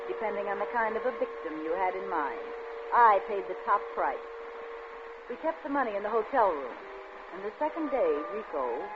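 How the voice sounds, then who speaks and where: A woman's voice: mid-range voice, close to an everyday voice. An actress playing a scheming, vengeful and seductive woman crook in a 1940s radio suspense drama, narrating her own crime. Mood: coldly calculating. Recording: dull, noisy old radio recording.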